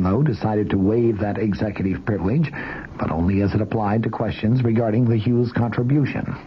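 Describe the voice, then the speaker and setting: A man reading a scripted 1970s radio news report as commentator, on a muffled, noisy old broadcast. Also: fairly low pitch.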